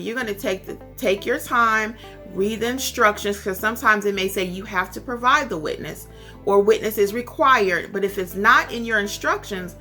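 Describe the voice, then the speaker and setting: A woman's voice: mid-range voice, pushed and bright. An American woman giving an instructional talk as a tutorial host, stressing and repeating her key points. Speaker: instructor and host of tutorial videos for notary signing agents. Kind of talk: instructional talk with acted-out example phone calls.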